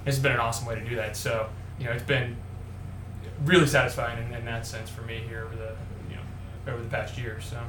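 A man who works as a brewer, answering in a casual interview and talking haltingly, with many restarts. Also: medium pitch, little pitch movement. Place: brewery taproom. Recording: echoey room, faint noise.

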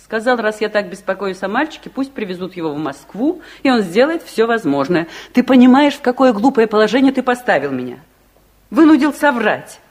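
An actress playing a fussy, practical middle-aged mother, easily exasperated but soft-hearted, in a 1960s Soviet radio play. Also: mid-range voice, a little fast. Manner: expressive, fussing, theatrical.

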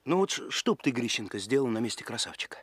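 An actor playing an earnest, kindly young police chief in a radio play, musing and explaining in a halting, thoughtful way. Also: male voice, medium pitch, big pitch swings, fairly bright tone, slightly rough, no echo. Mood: pondering.

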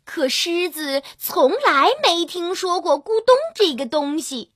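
A woman storyteller narrating a scripted fairy tale for young children in an expressive, drawn-out manner. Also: very high voice, no room sound, clean studio sound. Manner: expressive, drawn-out storytelling with gentle sentence particles. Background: children's music.

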